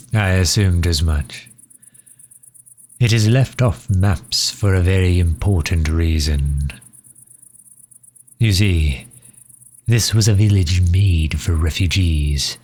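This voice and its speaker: A man playing a courteous, weary vampire leader in an audio roleplay, pleading in formal, measured, old-fashioned words with a hushed, breathy voice. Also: low-pitched, full character voice. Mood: sombre, earnest. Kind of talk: scripted ASMR roleplay acting.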